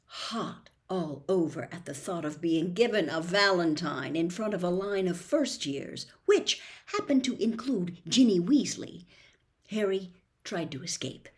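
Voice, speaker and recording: A woman reads a children's novel aloud as narrator. Her voice sits slightly low and expressive, sinking to a near whisper in hushed moments. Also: unhurried. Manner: expressive reading, dropping to a hush for quiet moments. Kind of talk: storybook read-aloud.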